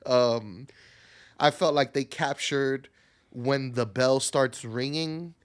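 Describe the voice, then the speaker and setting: A man's voice: medium pitch, slow pace, clean tone. A man co-hosting a podcast, chatting casually about films in an informal, sweary way. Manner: informal, profanity-laced. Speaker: podcast co-host.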